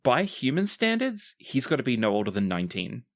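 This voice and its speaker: A man's voice: medium pitch, huge pitch swings, fairly quick. A man improvising narration as the game master of a tabletop roleplaying podcast.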